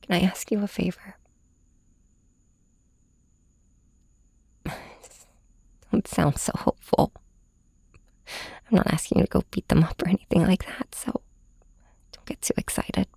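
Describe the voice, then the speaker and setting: Woman playing a heartbroken superheroine, half-whispered, creaky, hesitant, intimate audio roleplay, roomy echo. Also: on the low side, steady tone, full character voice. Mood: pleading, reluctant. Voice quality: breathy, whispery. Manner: hesitant, halting, soft-spoken. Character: heartbroken, weary young woman hero confiding in her nemesis.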